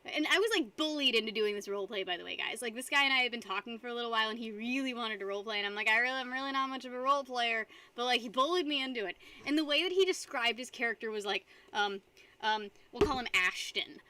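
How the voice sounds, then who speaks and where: A woman's voice: fairly high pitch, quick pace, pushed and bright. A young woman streaming live, chatting casually with her viewers and rambling between frequent giggles. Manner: giggly, rambling, reads chat aloud.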